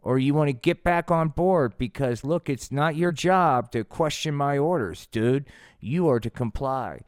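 Man performing a bossy Jesus puppet, raised clear voice, slangy, impatient, commanding, comedy puppet show. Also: on the high side, unhurried, darkish tone, no echo, clean studio sound, natural voice. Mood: bossy, challenging.

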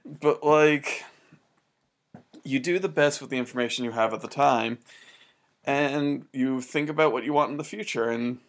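A man's voice: mid-range voice, moderate pace, long pauses. Easygoing man in his thirties. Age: 30s. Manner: easygoing, relaxed, joking asides. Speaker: podcast co-host. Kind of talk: casual podcast chat.

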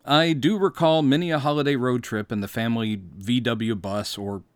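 A man's voice: medium pitch, fairly even intonation, normal speed, smooth, no echo. An American man in his fifties from Mississippi, hosting with scripted autobiographical narration in a wry, theatrical storytelling manner. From Mississippi, United States. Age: 50s. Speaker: podcast host.

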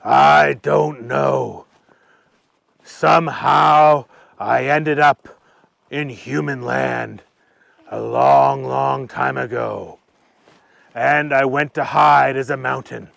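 A man voicing a big, gentle, forgetful dragon in a children's story, slow and drawn-out with booming exclamations. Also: vocal fry, no echo, full character voice. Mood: slow, musing.

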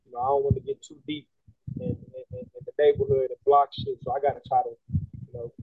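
A man's voice: on the high side, a little fast, vocal fry, breathy edge, dry recording. Laid-back island man on a tinny line. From Bahamas. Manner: laid-back, slangy, animated when making a point. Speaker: guest singer and songwriter. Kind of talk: casual interview chat. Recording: tinny phone-like line, dry.

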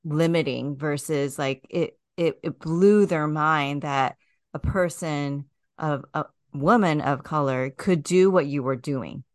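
A woman's voice: low voice, takes it slowly, dry recording, studio quality. A woman hosting a podcast, encouraging her guest with affirming murmurs and curious follow-up questions. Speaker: podcast host. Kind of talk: casual interview conversation.

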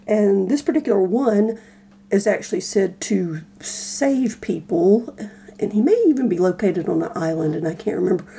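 Mellow, slightly husky woman explaining casually. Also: mid-range voice, moderate pace. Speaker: podcast co-host. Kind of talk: casual explanatory podcast chat.

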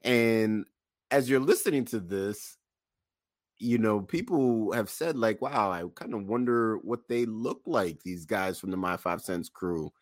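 Low, dry-sounding male podcast host. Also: measured pace, no room sound. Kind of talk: casual podcast hosting.

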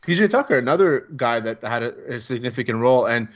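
A man co-hosting a casual sports show, talking quickly and excitedly and cutting in over the other host. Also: medium pitch, lo-fi sound. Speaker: podcast co-host.

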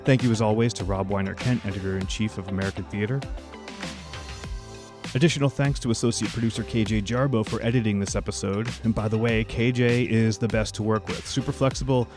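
A man's voice: fairly low pitch, steady tone, fairly quick, over music. Male podcast host, conversational delivery. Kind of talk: conversational interviewing and podcast sign-off.

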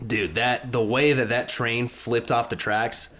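A man's voice: mid-range voice, steady tone, measured pace, a bit bright. A man co-hosting a casual anime recap show, chiming in with easygoing comments. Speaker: podcast co-host.

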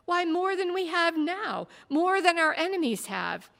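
A woman voicing a proud, anxious warrior king who speaks loudly and insistently, heard in a reverberant hall. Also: sits high, lively intonation, unhurried, vocal fry, voice pushed up, heavily acted. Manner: loud, insistent, pleading. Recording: long reverb of a big hall.

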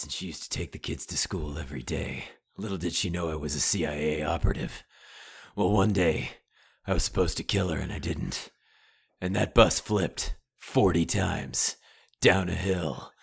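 An actor playing an older male sponsor, a former spy who sells guns and ammo, with a low, gravelly voice and a folksy sales-pitch manner. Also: normal speed, full character voice. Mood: deadpan. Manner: folksy ad pitch turning unhinged. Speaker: sponsor doing a live read.

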